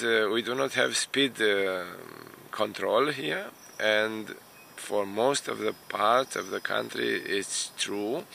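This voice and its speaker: Slow, gravelly Romanian-born man. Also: medium pitch, pushed and bright, a little hiss or hum. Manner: unhurried, hesitant, many fillers. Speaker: immigration consultant vlogger. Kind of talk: casual talk to camera.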